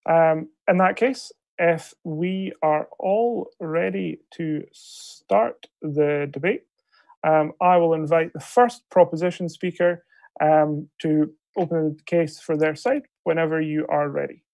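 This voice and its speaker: A man's voice: fairly high pitch, slow pace, darkish tone, no room sound, low-quality recording. A Scottish man, a debate coach, chairing an online debate round with courteous, polite requests over a video call. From Scotland.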